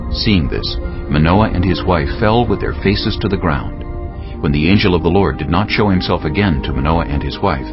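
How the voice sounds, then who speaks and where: A man narrating a dramatized reading of scripture as the storyteller. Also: low-pitched. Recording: dull band-limited sound. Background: background music.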